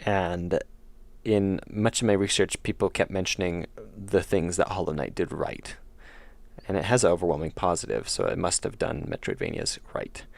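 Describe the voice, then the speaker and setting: Gravelly low-voiced streamer losing his voice. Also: normal speed, no echo. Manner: rambling, self-correcting, laid-back. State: losing his voice, slightly ill. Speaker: indie game developer streaming. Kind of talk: casual livestream chat answering chat.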